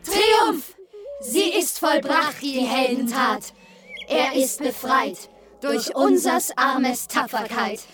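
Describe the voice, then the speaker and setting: A woman's voice: high voice, animated, slow pace, over loud music. A woman playing a proud, triumphant female rescuer in a theatrical, declamatory radio play. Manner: declamatory, exultant. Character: triumphant proud female rescuer, fairy-tale warrior attendant. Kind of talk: theatrical radio drama acting.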